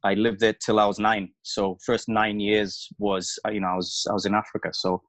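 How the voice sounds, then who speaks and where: A man's voice: on the low side, level tone, normal speed, a bit bright, dry recording. A man in his early forties, a former footballer raised in Zambia and Wales, talking about his background with many fillers and restarts. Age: early 40s. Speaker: former international footballer guest. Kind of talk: casual reflective interview talk.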